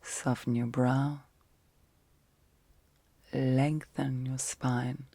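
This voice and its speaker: A woman speaks very softly, often slipping into a breathy whisper, as she guides a sleep meditation. Her delivery is slow and fairly even, with gentle, soothing phrases set apart by long pauses. There is a creaky fry in the voice, and the sound is close and dry, in an ASMR style. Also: extremely low pitch, dry recording.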